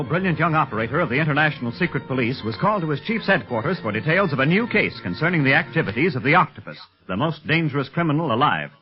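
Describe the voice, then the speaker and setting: A man's voice: fairly high pitch, lo-fi sound. A man as the announcer of a vintage radio adventure serial, narrating dramatically on an old recording.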